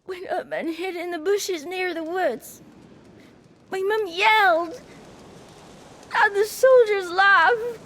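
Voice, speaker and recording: High-voiced, wary peasant child. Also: female voice, a bit bright, heavily acted. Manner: halting, plain rustic speech. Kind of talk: video game cutscene acting.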